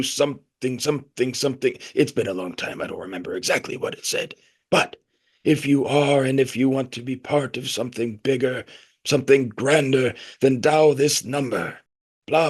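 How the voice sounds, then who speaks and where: An actor playing a pompous, nostalgic, middle-aged leader of a secretive group in an audio play, speaking in a grandiose, rambling way. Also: male voice, mid-range voice, husky, natural voice.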